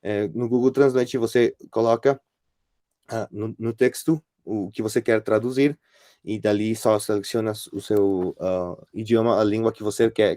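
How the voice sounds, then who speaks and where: A middle-aged man with a Spanish accent, speaking as a non-native and giving a workshop presentation as guest lecturer, halting often with fillers and restarts. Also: fairly low pitch, mellow, dry recording, clean studio sound. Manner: hesitant, many restarts and fillers.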